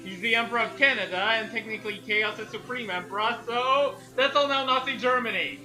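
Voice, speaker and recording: A man's voice: very high voice, fairly quick, bright, forceful tone, faint music behind, low-quality recording. A man giving jokey, geeky asides and mock readings as a co-reader in a casual group reading. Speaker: co-reader and commentator. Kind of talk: casual joking commentary and mock read-aloud.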